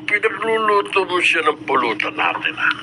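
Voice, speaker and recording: A nosy drinking companion in a radio drama, prodding his friend with questions. His voice sits slightly high and swings widely, with clear hiss behind it. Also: wildly swooping pitch, normal speed. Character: nosy drinking buddy and godfather. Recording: noisy old AM radio broadcast.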